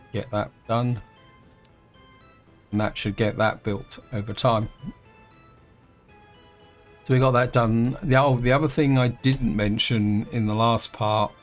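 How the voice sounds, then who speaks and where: A man narrates his strategy game in an unhurried, rambling way, stopping and restarting as he thinks aloud. His voice sits on the low side and sounds croaky from a sore throat. Soft music plays faintly behind him. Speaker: gameplay host. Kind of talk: casual let's-play commentary.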